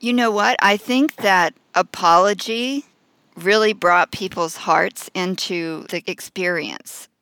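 A woman interviewer who narrates the introduction and asks questions in a clear, polished, encouraging manner. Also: fairly low pitch, takes it slowly, fairly bright tone, dry recording.

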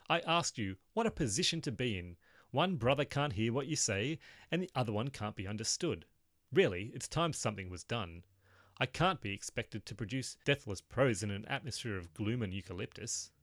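A man's voice: medium pitch, animated, brisk, fairly bright tone, no echo, clean studio sound, close to an everyday voice. A man voicing a restless, irritable young man who complains in a sarcastic, exasperated way.

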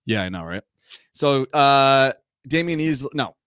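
A man co-hosting a casual sports fan show, chatty and rambling with lots of fillers, a little hoarse from a cough. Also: medium pitch, lively intonation, a little slow, a bit bright, dry recording, clean studio sound. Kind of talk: casual chat.

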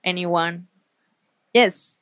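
A woman's voice: on the low side, extremely slow, a bit bright, clear, resonant tone, no echo. Non-native English-speaking woman moderating, dry sound. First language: not English, a non-native English speaker. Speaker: panel moderator. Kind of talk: informal moderated panel discussion.

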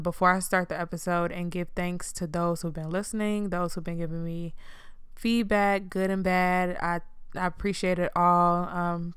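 Young woman podcast host, relaxed monotone, blunt and profane, lowish crystal-clear voice, dry close sound. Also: dry recording. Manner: chill, low-key monotone delivery; frank and profane. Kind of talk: casual solo podcast talk.

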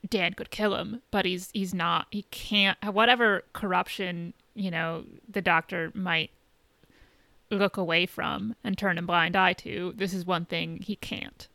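A woman's voice: lowish voice, fairly even intonation, unhurried, vocal fry, pushed and bright, dry recording. A woman co-hosting a casual podcast discussion, explaining things and rephrasing as she goes. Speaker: podcast co-host.

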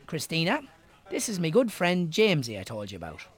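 A man playing a breezy Irish pub landlord who loves to coax a friend along. The voice is on the high side for a man, with very lively, swinging intonation, in natural radio drama style. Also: fairly quick, breathy edge, heavily acted.

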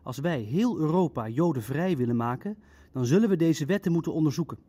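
A male Nazi bureaucrat in an audio drama, with a slightly high voice. He is formal and legalistic, laying out rules precisely and pushing back with careful objections. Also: animated, fairly quick, mellow, breathy edge. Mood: firm, explanatory. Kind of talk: Dutch audio drama acting, naturalistic meeting dialogue.

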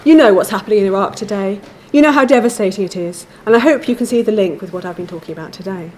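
A woman giving a measured, formal academic lecture from a prepared text. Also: medium pitch, darkish tone, slight background noise. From England.

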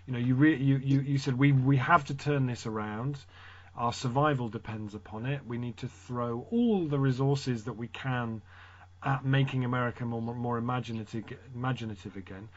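A man interviewing a guest for his book, framing his questions in a long, measured way. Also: medium pitch, dull audio.

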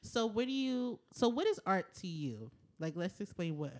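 A woman's voice: medium pitch, big pitch swings, moderate pace, darkish tone. A woman hosting a podcast, asking questions in a chatty, informal way and laughing easily. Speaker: podcast host. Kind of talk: casual podcast interview.

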